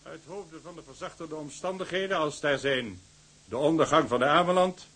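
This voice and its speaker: Stern official judge in radio drama, raspy edge, slightly high voice, very formal. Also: male voice, moderate pace, a bit bright. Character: stern, formal court president passing sentence. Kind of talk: Dutch audio drama acting.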